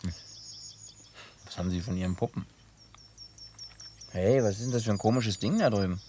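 Actor as blunt, low-voiced country man. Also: moderate pace, warm tone, slight background noise, natural voice. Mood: curious, blunt. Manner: blunt, colloquial, teasing. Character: gruff, wary, plain-spoken American country man. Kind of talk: naturalistic German radio play acting.

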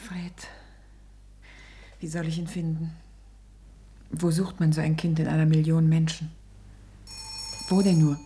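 An actress in a German radio play playing an anxious mother searching for her missing small son, tense and hurried, with strained politeness. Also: low-pitched, level tone, mellow. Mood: worried, brooding.

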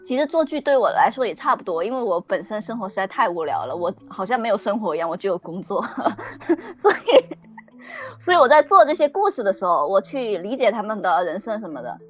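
Lively, slightly muffled woman joking. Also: mid-range voice, a little fast, faint music behind. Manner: self-deprecating, joking. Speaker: audio drama post-production editor. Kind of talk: casual panel chat among colleagues.

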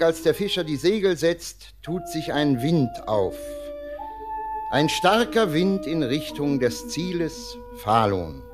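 Male storyteller, deliberate and theatrical, very varied pitch, 1949 radio drama narration over music. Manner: deliberate, theatrical, swooping delivery.

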